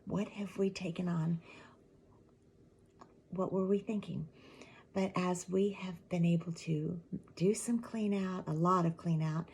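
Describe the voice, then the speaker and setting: A middle-aged woman with a raspy, allergy-worn voice, chatting casually to her viewers in a rambling way, her words often trailing into a soft half-whisper. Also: on the low side. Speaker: channel host. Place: at home with a mug of tea.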